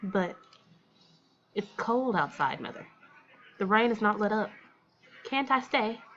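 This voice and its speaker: A woman's voice: mid-range voice, animated, takes it slowly, a bit bright, muffled recording, a little hiss or hum. A woman voicing a meek young girl in a visual novel, apologetic, hesitant and submissive. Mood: timid pleading. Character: meek, fearful, self-blaming young girl.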